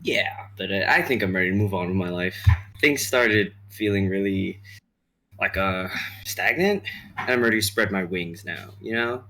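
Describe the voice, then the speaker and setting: A man's voice: sits low, normal speed, pushed and bright. A man playing a nervous, tipsy, earnest young guy on a date in a comedy sketch reading, rambling and hesitant. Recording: faint noise, amateur livestream audio.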